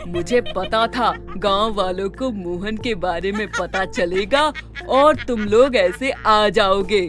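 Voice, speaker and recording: Guy doing a gloating undead cartoon witch, high voice, slow threats. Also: fairly bright tone, soft background music. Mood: triumphant boasting. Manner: boastful, menacing. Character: gloating undead graveyard witch, cruel trickster.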